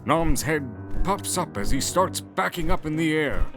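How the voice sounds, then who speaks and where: A man's voice: a little high, animated, a little slow. A man narrating a scripted audio fantasy story in a dramatic storytelling style. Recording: music bed under the voice.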